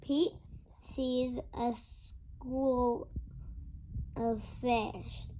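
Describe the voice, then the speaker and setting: A young girl reading a picture book aloud in a halting, word-by-word way. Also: a little high, fairly even intonation, dark tone, slight background noise, low-quality recording. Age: child. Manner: halting, word-by-word early-reader delivery. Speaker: young reader.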